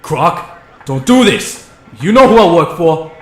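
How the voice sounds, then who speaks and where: A man's voice: a little high, big pitch swings, a little slow, a bit bright, slightly rough, heavily acted. An actor playing a wary, ambitious henchman in a motion comic, speaking in a plain, confiding, hard-boiled noir manner. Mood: tense pleading. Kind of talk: motion comic narration and dialogue.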